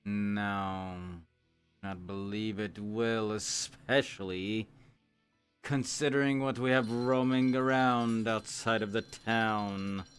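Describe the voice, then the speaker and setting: Man playing a slow, formal fantasy guildmaster. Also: fairly low pitch, steady tone, faint music behind. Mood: calm, deliberate. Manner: formal, hesitant with thoughtful hums. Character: measured, formal male guildmaster of a fantasy town. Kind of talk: improvised virtual-reality roleplay.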